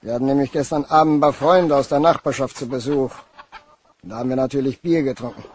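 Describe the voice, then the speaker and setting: A man's voice: mid-range voice, steady tone, fast, darkish tone, a little hiss or hum, natural voice. A man playing a plain-spoken, obliging villager in a German crime radio play, with a folksy, blunt way of talking. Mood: chatty, obliging.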